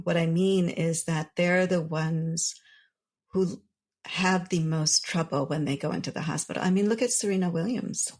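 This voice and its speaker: Woman guest, native Urdu speaker, slightly low voice, unhurried and reflective. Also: a little mellow, husky. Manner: thoughtful, measured, explanatory. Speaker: author and guest. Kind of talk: reflective interview answers.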